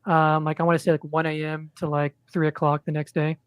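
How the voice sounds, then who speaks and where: A man chatting as a guest on a podcast, in a monotone, rambling way with lots of ums and restarts. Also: on the high side, a little fast, clear, resonant tone, no room sound. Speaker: podcast guest, indie comic creator. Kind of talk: casual podcast chat.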